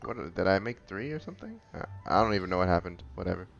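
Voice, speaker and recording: A man's voice: low-pitched, lively intonation, fast talker, gravelly. A man doing casual game playthrough commentary, muttering dryly to himself, slightly dull sound with game music behind. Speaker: video game player and commentator.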